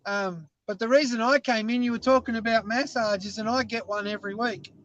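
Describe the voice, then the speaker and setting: A man's voice: very high-pitched, fairly even intonation, a little fast, fairly bright tone, lo-fi sound, a little hiss or hum. A man with Scottish family roots and a mixed British Isles accent, chatting casually as a guest and rambling in a self-deprecating way. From Scotland. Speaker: guest caller. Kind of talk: casual chat.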